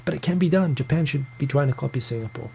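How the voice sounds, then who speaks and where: A man's voice: on the high side, fast talker, gravelly, a little mellow, a little hiss or hum. A New Zealand man in his thirties hosting a casual live stream, reading out viewer comments with chatty restarts. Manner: chatty, stumbling restarts, greets viewers by name. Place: home room in Tokyo.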